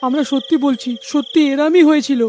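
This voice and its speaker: A woman voicing a nervous young village man in a cartoon, talking fast and pleading. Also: sits high, fairly even intonation, dark tone, close to an everyday voice.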